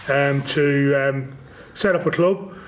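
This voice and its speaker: A man's voice: medium pitch, slow pace, highs cut off, a little hiss or hum. A man in his forties with a Northern Irish accent, giving casual interview answers as a guest, with hesitant, stop-start phrasing. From Northern Ireland. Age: 40s. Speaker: interview guest, rugby league club chief executive.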